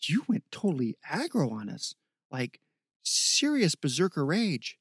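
An excitable young guy, voiced by a male audiobook narrator, talking in casual slang. His pitch is fairly high and his delivery emphatic. The sound is dry and close. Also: unhurried, slightly rough, no echo, exaggerated voice. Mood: relieved, amazed.

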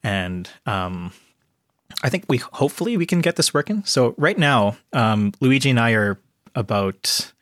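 A man's voice: medium pitch, expressive, a little slow, a bit bright, dry recording. A Canadian man hosting a casual podcast, rambling with many fillers. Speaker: podcast host. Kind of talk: casual chat.

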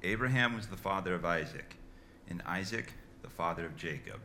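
A man reading a long genealogy from scripture in a slow, steady recitation. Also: low-pitched, slight background noise. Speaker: scripture reader.

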